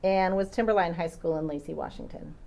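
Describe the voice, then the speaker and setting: A woman attorney questioning a witness in a courtroom, patient and measured, with occasional ums. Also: fairly low pitch, gravelly, darkish tone, a little hiss or hum. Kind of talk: courtroom direct examination.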